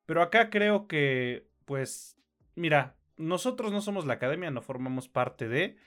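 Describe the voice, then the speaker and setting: A man's voice: fairly high pitch, animated, a little slow, fairly bright tone. A tired Mexican man co-hosting a film podcast, rambling informally and correcting himself as he goes. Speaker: podcast co-host. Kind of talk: casual film podcast chat.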